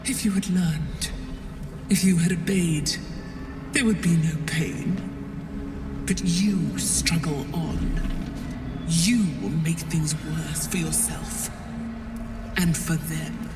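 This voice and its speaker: An echoing, ominous dark goddess. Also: female voice, low-pitched, fairly even intonation. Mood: cold, ominous. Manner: cold, deliberate, ominous. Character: menacing goddess of darkness, cold and domineering. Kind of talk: slow, theatrical game monologue. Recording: long echoing reverb, distant, music behind. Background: background music.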